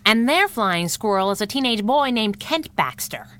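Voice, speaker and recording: A woman's voice: medium pitch, expressive, moderate pace, fairly bright tone, natural voice. A woman playing a feisty, wisecracking masked heroine in a 1930s-style radio adventure, sassy and punchy with old slang. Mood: impatient, probing.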